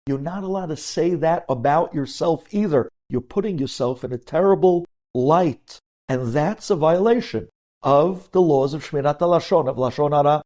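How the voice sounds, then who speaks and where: A man's voice: a little high, normal speed, a little mellow. A man speaking as a rabbi giving a religious lecture, emphatic and direct, putting rhetorical questions to his listeners and using Hebrew religious terms. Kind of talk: sermon-like lecture with storytelling and acted-out dialogue.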